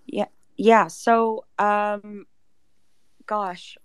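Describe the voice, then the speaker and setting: A woman's voice: medium pitch, steady tone, extremely slow, clean tone, dry recording, clean studio sound. A woman speaking as an interview guest, explaining a project in a casual, conversational way with lots of "like" and "you know".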